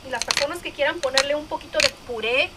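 A woman with a Mexican Spanish accent talks through a recipe in her home kitchen, her voice sitting a little high. She chats eagerly about the food as she cooks. Frying and sizzling sound clearly behind her. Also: quick pace. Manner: chatty, enthusiastic about food. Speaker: cooking vlogger. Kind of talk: casual cooking narration. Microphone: camera filming hands at the stove. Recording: kitchen noise.

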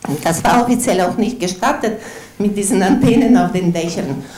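A woman's voice: mid-range voice, fairly quick, a little mellow. A mature woman from Ukraine with a Ukrainian accent, speaking German as a second language, giving a personal comment from an audience. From Lviv, Ukraine. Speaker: audience member. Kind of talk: personal comment at a public lecture. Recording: roomy, distant room sound with noise.

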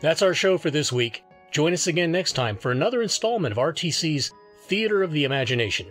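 Lively, higher-pitched male announcer. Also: brisk, faint music behind. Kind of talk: scripted radio show sign-off.

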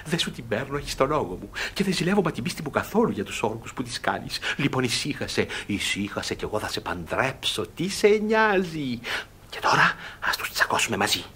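An actor playing a suspicious, gloating middle-aged guardian in a classic radio theatre comedy, blustering and fussy. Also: male voice, mid-range voice, very animated, pushed and bright, slightly rough, full character voice. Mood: muttering scorn.

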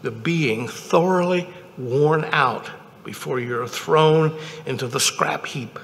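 Older chaplain preaching slowly in a reverberant hall. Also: male voice, on the high side, gravelly, a bit bright. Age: 70s. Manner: measured, deliberate, pausing phrasing. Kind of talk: sermon, read from notes at a lectern. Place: indoor chapel, at a wooden lectern.

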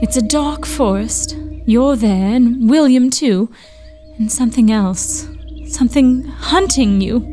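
Woman acting high-voiced anxious Victorian sister. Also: takes it slowly, warm tone, faint music behind. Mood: haunted, fearful. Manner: earnest, foreboding. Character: anxious young Victorian sister, gentle and fretful. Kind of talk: audio drama acting.

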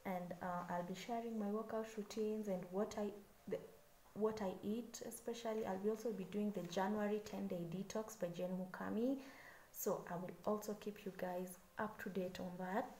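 Young Kenyan woman, mellow, roomy sound. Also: medium pitch, fairly even intonation, moderate pace. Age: 20s. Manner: chatty, a little nervous, with many "so yeah" fillers. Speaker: vlogger. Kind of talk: casual self-introduction to camera. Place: small room indoors. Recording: echoey room with faint background hiss.

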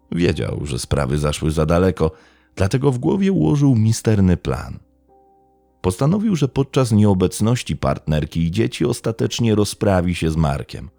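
A man narrates true crime from a script. His voice is gravelly and moves through lively rises and falls as he tells the story in a measured, dramatic way. The sound is close and very dry. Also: deep voice, dry recording.

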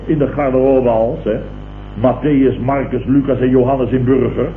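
An actor playing a pompous, indignant company boss in a 1970s Dutch radio comedy, mockingly echoing what others say. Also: male voice, medium pitch, fairly even intonation, moderate pace, phone-quality sound, natural voice. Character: blustering, indignant self-satisfied company boss and uncle. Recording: noisy, roomy old radio broadcast recording.

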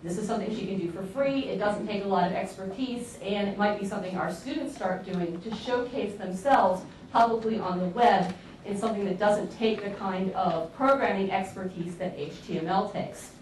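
A woman giving a lively, emphatic conference talk with slides in a large lecture hall, heard from a distant camera. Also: medium pitch, normal speed. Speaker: conference presenter. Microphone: far room camera. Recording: echoey hall from far off, faint hiss.

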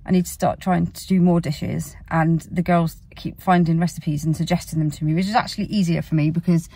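British female vlogger, 40s, lower husky voice, casual and meandering, recorded slightly off-mic in a car. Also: steady tone, fairly quick, warm tone, no echo, recorded from a distance. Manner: rambling, word-searching, self-correcting. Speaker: family vlogger. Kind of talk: casual chat to camera. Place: inside a parked car.

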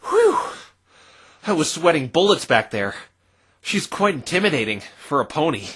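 A woman's voice: low voice, very animated, normal speed, fairly bright tone, husky, exaggerated voice. A woman voicing an earnest, easily flustered young male defense lawyer in a visual novel dub, stammering at times. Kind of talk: visual novel fandub acting.

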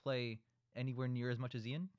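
A man's voice: medium pitch, flat delivery, a little fast, darkish tone, dry recording, lo-fi sound. A man in his twenties hosting a casual group discussion, explaining his points at wordy, rambling length. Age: 20s. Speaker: host of a film discussion show.

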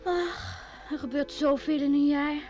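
A woman's voice: high voice, fairly even intonation, unhurried, darkish tone. A woman playing a wistful, jealous young innkeeping daughter of a mayor in a radio play, on a dull old recording. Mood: wistful, sulky. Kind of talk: radio drama acting.

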